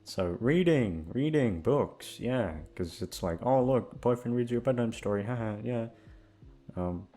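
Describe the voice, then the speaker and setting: A man's voice: medium pitch, lively intonation, a little slow, dark tone. A young man chatting casually to his listeners in an informal, rambling, sweary way. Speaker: audio channel host. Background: guitar music.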